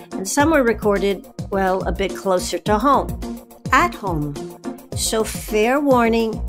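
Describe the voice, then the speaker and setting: Female podcast host over music. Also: mid-range voice. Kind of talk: scripted narration.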